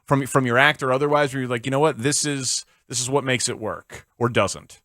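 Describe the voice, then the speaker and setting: A man's voice: mid-range voice, steady tone, brisk, bright, forceful tone, no echo, studio quality. A man hosting a sports radio show, joking and stumbling over his words. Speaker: radio host. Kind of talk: casual radio chat. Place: radio studio.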